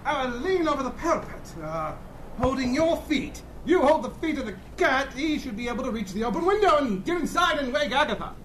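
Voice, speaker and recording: High, pompous, blustering big adventurer. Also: sing-song, brisk, fairly bright tone, slight background noise. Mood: smugly confident. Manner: grandiose, theatrical, over-polite exclamations. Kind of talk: audio drama acting.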